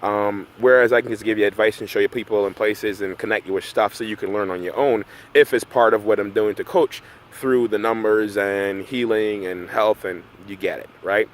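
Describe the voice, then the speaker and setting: A man's voice: fairly low pitch, steady tone, moderate pace, few pauses. A middle-aged man from Barbados, a numerology coach, talking casually to camera outdoors in a park and often asking "right?". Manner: conversational, often tags "right?". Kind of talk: casual vlog monologue. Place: outdoors in a park by road works.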